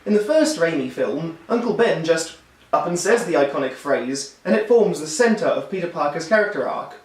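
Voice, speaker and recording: A man with a high voice that has gone hoarse delivers a wry, upbeat film review. He sounds set back from the microphone, and the room adds a noticeable echo. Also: lively intonation, moderate pace. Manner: wry, enthusiastic critique. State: hoarse, losing his voice. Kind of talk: scripted video review. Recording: roomy echo, distant mic.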